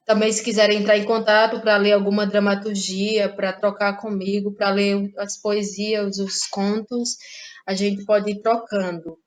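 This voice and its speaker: Guest voice: easygoing woman, unhurried, quick to laugh. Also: medium pitch. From Ceará, Brazil. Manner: relaxed, laughs often, trailing pauses. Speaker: guest playwright. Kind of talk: casual interview chat.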